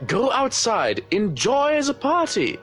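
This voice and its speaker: An irritable German head cook in a video game. His pitch leaps about as he scolds in clipped, formal phrases with a German accent. He sounds bossy and suspicious, with music underneath. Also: huge pitch swings, slow, a bit bright, full character voice. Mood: scolding, insistent. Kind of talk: video game character acting.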